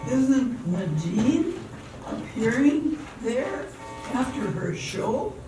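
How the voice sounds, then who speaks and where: A woman's voice: fairly high pitch, sing-song, drawn-out words, heavily acted. A woman playing a sweet, wistful stage singer in an amateur radio drama, distant in a very echoey hall. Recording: very echoey, distant, music behind.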